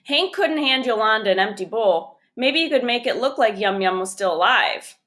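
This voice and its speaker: A woman reading a children's chapter book aloud, narrating it expressively and deliberately. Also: on the high side, expressive, a bit bright. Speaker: storybook reader.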